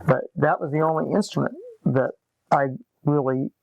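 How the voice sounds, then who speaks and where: Man in his late forties, scratchy gravelly voice, unhurried reflective talk, dry close sound. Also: on the high side, darkish tone, no echo, muffled recording. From Massachusetts. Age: 40s. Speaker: interviewee, musician. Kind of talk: relaxed conversational storytelling. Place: upstairs room of an apartment, at a desk.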